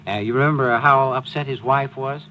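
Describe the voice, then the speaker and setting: An actor playing a breezy, teasing newspaper editor and amateur sleuth in an old-time radio mystery. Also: male voice, medium pitch, steady tone, brisk, sharp tone, muffled recording, natural voice. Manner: jaunty, cajoling, affectionate. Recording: hissy old radio recording.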